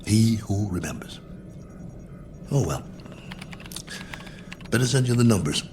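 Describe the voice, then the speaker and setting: An actor playing a lonely, bored astronaut colonel in a radio drama, speaking in a wry, musing, self-mocking manner. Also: male voice, on the low side, lively intonation, a little slow, music in the background. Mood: wistful, resigned. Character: lonely, bored male astronaut colonel, lone probe pilot. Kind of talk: radio drama acting, spoken log entries.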